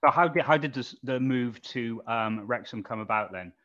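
A male interviewer with a mid-pitched voice, heard through a muffled, lo-fi recording. He puts his questions casually and chattily, as an informal host would. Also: normal speed, fairly bright tone. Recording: dull, lo-fi sound.

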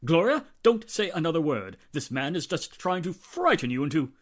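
A man's voice: a little high, big pitch swings, normal speed. A man playing a haughty, indignant stage actor, pompous and formal, in 1940s radio style. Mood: insistent.

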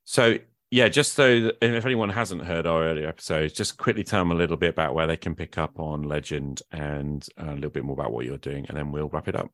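Deep-voiced man hosting a podcast. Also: fast, few pauses, fairly bright tone, no echo, clean studio sound. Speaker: podcast host. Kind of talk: casual conversational podcast talk.

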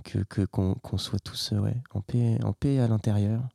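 A young man, a hip hop artist, talking in a casual interview in a soft-spoken, halting and reflective way. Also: fairly low pitch, steady tone, gravelly, dry recording, studio quality. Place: artists' workshop.

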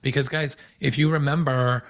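A man hosting a podcast with a hoarse voice, recapping pop culture in a chatty, gossipy way. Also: mid-range voice, fairly even intonation. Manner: chatty, gossipy, fast asides. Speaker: podcast host.

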